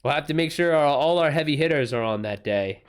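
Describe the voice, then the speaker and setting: A man's voice: on the high side, animated, a little fast, clean tone. Casual man thinking aloud on a livestream. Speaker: livestream host and writer. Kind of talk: casual livestream chat with viewers.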